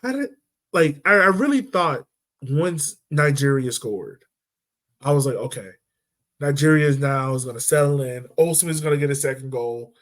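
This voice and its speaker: A man co-hosting a podcast, talking football in a loose, animated way with lots of fillers and restarts. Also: on the high side, normal speed. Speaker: podcast co-host. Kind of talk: casual sports chat.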